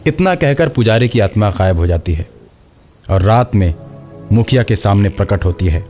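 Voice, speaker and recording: A man's voice: on the low side, lively intonation, normal speed, a little mellow, soft background music. A man narrating a scripted horror story.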